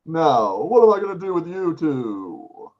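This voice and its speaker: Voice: mid-range voice, big pitch swings, unhurried, darkish tone, muffled recording. A performer voicing a menacing, gloating sack monster in a theatrical, taunting way at a live table read. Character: Oogie Boogie, menacing, gloating sack monster full of bugs.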